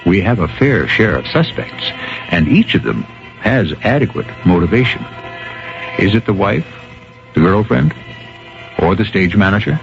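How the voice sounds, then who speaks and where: A man with a gravelly voice hosting a radio mystery, giving theatrical, measured scripted narration. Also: lowish voice, big pitch swings. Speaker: host. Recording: dull old radio broadcast recording. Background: music.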